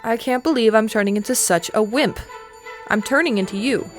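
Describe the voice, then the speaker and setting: A woman as a higher-voiced young stage actress. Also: a little fast, lightly acted. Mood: scornful, performing. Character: young actress at rehearsal, friendly but evasive. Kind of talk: audio drama acting. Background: music.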